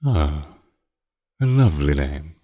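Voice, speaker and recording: An extremely low-voiced, ancient vampire count played by a man speaks in an unhurried, courtly way. His tone is dark and velvety, teasing and condescending as he toys with his prey. The roleplay audio is close and dry. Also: sing-song, dry recording, exaggerated voice. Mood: flirtatious, teasing. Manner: formal, archaic, teasing and condescending. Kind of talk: slow intimate roleplay audio monologue.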